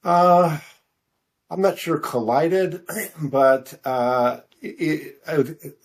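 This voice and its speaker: A middle-aged or older man answering as a guest author in an interview, speaking hesitantly and haltingly, often clearing his throat. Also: fairly high pitch. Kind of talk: interview conversation.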